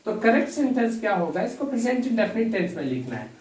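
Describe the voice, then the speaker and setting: A male English grammar teacher talking slowly and deliberately, dictating example sentences as he writes, repeating key phrases, and addressing the class as dear students. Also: high voice, big pitch swings, a little mellow. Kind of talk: bilingual grammar lesson. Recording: echoey room, distant mic, faint hiss.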